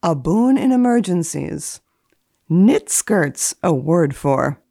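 A woman speaking as a guest columnist in a deadpan, theatrical manner, as if giving a dramatic reading. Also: lowish voice, takes it slowly, vocal fry, no echo, studio quality. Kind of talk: dramatic reading of a book index and deadpan commentary.

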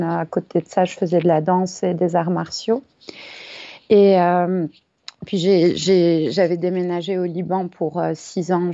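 A middle-aged woman from France, a yoga teacher, answering interview questions in a hesitant, reflective way with many pauses and fillers, over a remote recording. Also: fairly low pitch, mellow, dry recording. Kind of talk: casual interview chat. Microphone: remote call recording. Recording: dull, dry remote recording.

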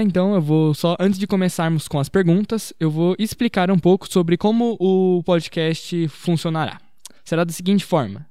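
A man's voice: fairly high pitch, mellow, clear, resonant tone, no room sound, clean studio sound. A teenage boy, hosting a student interview podcast in a formal, scripted manner. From Brazil. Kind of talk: prepared podcast introduction and interview questions.

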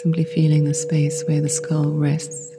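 Very slow, low-voiced woman guiding meditation. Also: monotone. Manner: soothing, gentle, often trailing into breathy whisper. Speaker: yoga nidra guide. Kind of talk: guided meditation. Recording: long reverb with meditation music behind.

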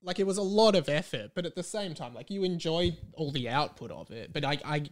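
A man's voice: fairly high pitch, lively intonation, fairly quick. A man with an Australian accent hosting a casual chat with a friend, rambling and teasing as he goes. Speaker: podcast host.

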